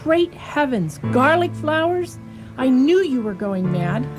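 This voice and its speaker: Woman acting as distraught male doctor, fairly high voice, skeptical, exclamatory, anguished, radio drama, music underneath. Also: big pitch swings, takes it slowly, full character voice. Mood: angry disbelief. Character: anguished, skeptical male asylum doctor, grieving his love.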